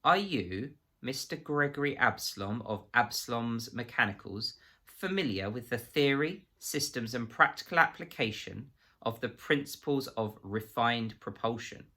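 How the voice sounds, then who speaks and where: A man voicing a softly menacing, coldly polite male official who questions with formal, conversational precision in a storybook reading. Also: mid-range voice, expressive, a little slow, full character voice. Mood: cold, pressing.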